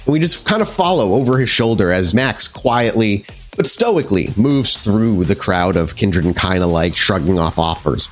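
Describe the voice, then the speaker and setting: A man's voice: fairly low pitch, animated, moderate pace, few pauses, soft background music. A man narrating a tabletop horror game as storyteller, improvising descriptions in a conversational way with some casual swearing.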